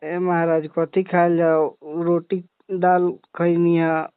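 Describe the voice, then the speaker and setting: A man with a Bhojpuri accent in a casual phone chat, asking questions in leisurely, drawn-out phrases on a recorded call. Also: a little high, little pitch movement, clear, resonant tone, no room sound, narrow, tinny audio. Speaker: comedy channel owner interviewing a guest.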